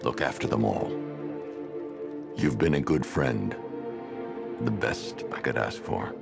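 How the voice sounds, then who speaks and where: An actor playing a weary, solemn man facing his end, slow and grave, with a gravelly voice. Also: big pitch swings, over loud music, exaggerated voice. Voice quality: gravelly, very deep.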